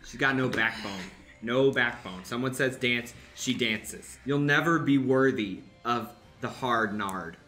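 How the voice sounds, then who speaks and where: A man's voice: mid-range voice, measured pace, pushed and bright. A man playing a pompous, bullying elder-brother mystic who preaches emphatically in an improvised comic radio play. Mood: mocking, bossy. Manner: preachy, emphatic, self-important. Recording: music under the voice.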